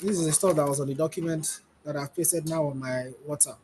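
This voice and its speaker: Guy on a webinar panel, rambly demo talk, loud noisy background. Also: on the high side, steady tone, brisk. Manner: loose, repeats himself while demonstrating. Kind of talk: webinar demonstration.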